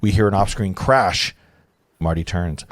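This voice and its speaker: A man's voice: deep voice, measured pace, dry recording, studio quality. A man narrating screenplay directions at a casual table read, informal, stumbling and breaking off to comment. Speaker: narrator of stage directions, host.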